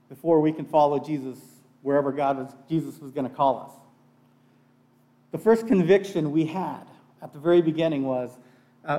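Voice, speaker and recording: A man's voice: fairly high pitch, dark tone, recorded from a distance. A middle-aged man preaching in a conversational, earnest way, sharing personal testimony and repeating phrases for emphasis. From born in Bangladesh, childhood partly in Pakistan in a missionary family. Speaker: pastor giving a sermon. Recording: echoey room.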